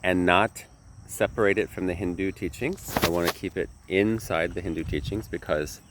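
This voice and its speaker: A man with a very low, slightly nasal voice talks casually about teaching yoga. He rambles in an earnest way and keeps correcting himself as he goes. Faint crickets and outdoor country ambience sit behind him. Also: normal speed, noisy background. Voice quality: nasal from a sinus infection. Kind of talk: casual talk to camera.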